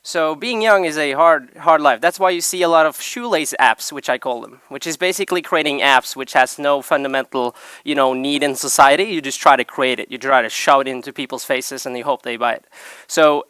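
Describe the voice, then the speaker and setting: A man's voice: a little high, brisk, sharp tone, clear, resonant tone, no echo. A young man presenting a program on stage in a loose, joking, chatty way. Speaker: program lead presenting at event.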